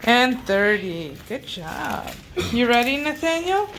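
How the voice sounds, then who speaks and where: A woman's voice: fairly high pitch, very animated, takes it slowly, fairly bright tone, slight background noise, poor audio. A woman talks casually to children in an encouraging, sing-song way, prompting them one by one.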